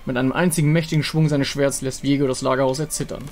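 A man reading scripted story narration for a fantasy game in a measured, dramatic way. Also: mid-range voice, little pitch movement, vocal fry, slight background noise.

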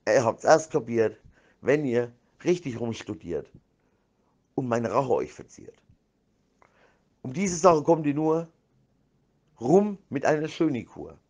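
A man's voice: on the high side, darkish tone. A man rambling in a voice message, slangy and sweary, repeating himself and slipping into rhymes.

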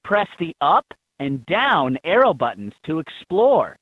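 A man's voice: fairly high pitch, wildly swooping pitch, a little slow, slightly rough, no echo, heavily acted. A man voicing an upbeat helper who gives scripted instructions in a children's educational game. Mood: upbeat, instructive. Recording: tinny, band-limited handheld game audio clips.

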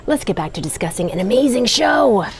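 A woman's voice: fairly high pitch, very animated, brisk. An actress voicing an upbeat female wrestling podcast host in a video game. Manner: chatty, confident.

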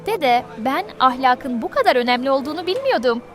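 A little girl in an educational cartoon, extremely high in pitch. She is a curious, polite granddaughter, with faint music behind her. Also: very animated, a little fast. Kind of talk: children's cartoon dialogue.

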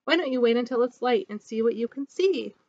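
A woman's voice: a little high, animated, a little fast, a little mellow, highs cut off. A woman voicing a drowsy male snowy owl. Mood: breezy.

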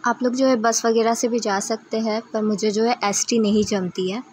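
A woman's voice: on the high side, fairly even intonation, moderate pace, a little mellow, breathy edge. A woman narrating a casual travel vlog in a chatty way, speaking directly to her viewers. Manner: chatty, addresses viewers as friends. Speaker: travel vlogger.

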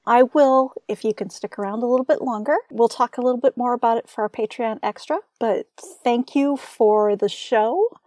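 A woman's voice: a little high, normal speed, mellow, dry recording. A woman hosting a radio interview show, asking questions and addressing the audience. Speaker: radio host.

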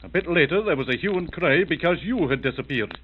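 An actor playing a grave, courteous questioner in a 1940s-style radio drama, measured and formal. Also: male voice, a little high, animated, natural voice. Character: grave, courteous male questioner breaking bad news. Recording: narrow, tinny, hissy old radio recording.

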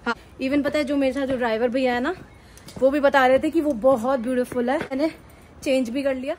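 A woman's voice: sits high, fairly even intonation, brisk, slight background noise. A woman talking casually to camera as a travel vlogger, chatting away and switching between Hindi and English. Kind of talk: casual vlog talk to camera. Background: background music.

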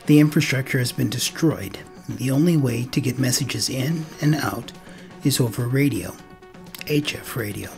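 Creaky-voiced man narrating. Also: medium pitch. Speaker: video host. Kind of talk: scripted narration.

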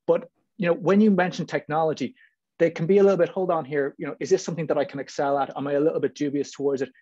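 A man's voice: on the high side, steady tone, racing, warm tone. A man with an Irish accent talking informally and chattily as a panelist on a video call. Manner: talkative, hedging with frequent "you know what I mean". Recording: muffled, roomy video call audio.

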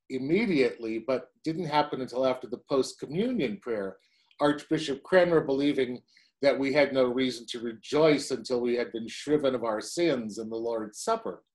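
A man's voice: mid-range voice, moderate pace, a little mellow. A man in his sixties, a pastor, preaching a sermon from home to a webcam in a chatty way, with wry asides.

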